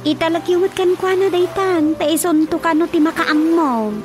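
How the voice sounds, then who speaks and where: A woman's voice: extremely high pitch, fairly even intonation, normal speed, loud music behind the voice. A woman acting a frank village daughter advising her father in a radio drama, with an Ilocano accent. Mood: frank, persuasive.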